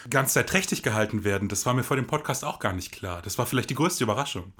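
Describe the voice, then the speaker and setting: A man co-hosting a podcast, delivering scripted lines as in an audio play, with a husky voice. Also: medium pitch, lively intonation, fast talker, fairly bright tone. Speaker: podcast co-host.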